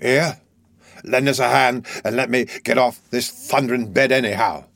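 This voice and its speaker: A man's voice: mid-range voice, fairly even intonation, normal speed, fairly bright tone, dry recording, close to an everyday voice. Man acting savage, growling housebreaker. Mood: surly, bullying. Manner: growling, cursing, impatient. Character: savage, surly, sickly housebreaker man with foul temper.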